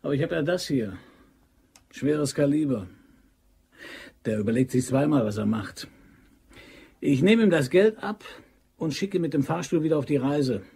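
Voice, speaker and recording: An actor playing a cocky, scheming amateur robber in a German crime radio drama, speaking in a clipped, cocksure way. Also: male voice, fairly high pitch, animated, normal speed, vocal fry, pauses often, mellow, husky, heavily acted.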